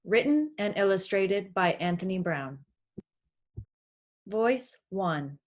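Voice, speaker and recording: A woman reading a children's storybook aloud in a scripted, expressive narration style. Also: mid-range voice, takes it slowly, dull audio. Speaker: storybook reader.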